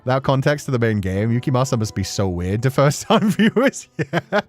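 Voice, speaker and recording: A man giving casual, animated commentary on a story game, swearing freely as a streamer. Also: mid-range voice, fairly quick, soft background music. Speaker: streamer playing and reading a visual novel.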